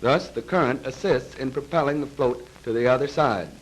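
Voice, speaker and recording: A man reads scripted narration for a 1930s military training film in a formal, measured, precise manner, on a vintage film soundtrack. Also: medium pitch, poor audio. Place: voice-over recorded for a film.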